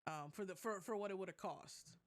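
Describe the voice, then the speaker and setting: Casual, animated podcast co-host. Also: medium pitch, moderate pace. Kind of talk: casual tech and gaming chat.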